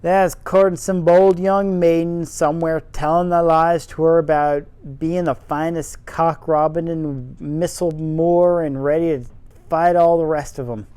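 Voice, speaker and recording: A man voicing a cross, sturdy old male gardener in a put-on rough Yorkshire accent, grumbling in thick dialect. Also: a little high, fairly even intonation, unhurried, a little mellow, no echo, natural voice. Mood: sarcastic. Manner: gruff, grumbling dialect speech.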